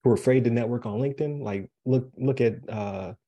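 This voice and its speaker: A man's voice: mid-range voice, a little fast, warm tone, highs cut off. An American man from Buffalo, New York, hosting a podcast interview in a casual, chatty, rambling way. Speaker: podcast host.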